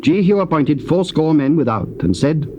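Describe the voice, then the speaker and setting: A man narrating dramatized scripture in a solemn, measured storytelling manner. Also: fairly high pitch, big pitch swings, dark tone. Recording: dull, noisy old cassette tape.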